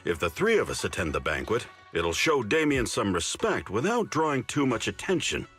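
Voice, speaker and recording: Sly easygoing senior disciple in a game, low voice that swings around a lot, bit of music behind. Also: male voice, big pitch swings, normal speed, fairly bright tone, slightly rough, exaggerated voice. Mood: easygoing, persuasive. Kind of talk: video game voice acting.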